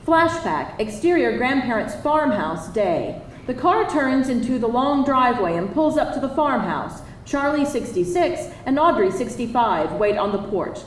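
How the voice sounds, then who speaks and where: A woman's voice: on the high side, lively intonation, moderate pace, few pauses. A woman reading screenplay stage directions as scripted narration at a live table read. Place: live event room. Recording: roomy, distant, faintly noisy live reading.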